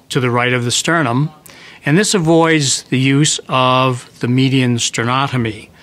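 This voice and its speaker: A man with an average-pitched voice speaks as a surgeon in an interview. He explains things formally and precisely, in clinical phrasing. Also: a bit bright. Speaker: heart surgeon. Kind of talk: explanatory interview remarks for a hospital newsreel.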